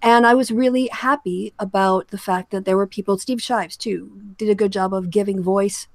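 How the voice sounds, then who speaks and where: A woman hosts a casual online discussion in a mid-pitched voice with noticeable creak. She talks in a halting way, often rephrasing as she goes. The sound is dry, with very little room in it. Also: fairly even intonation, no room sound. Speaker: host of an online discussion channel. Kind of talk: casual commentary with guests.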